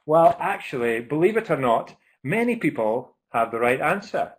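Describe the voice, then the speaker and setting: A man's voice: fairly high pitch, very animated, moderate pace, slightly rough. Chatty Scottish man hosting a webinar. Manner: chatty and upbeat, with frequent ums and uhs. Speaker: webinar host.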